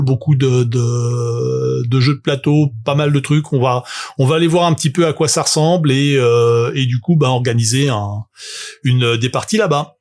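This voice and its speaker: A man, rambling through a casual livestream full of fillers and self-mocking asides. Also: a little high. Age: 40s. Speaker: tabletop game author and streamer.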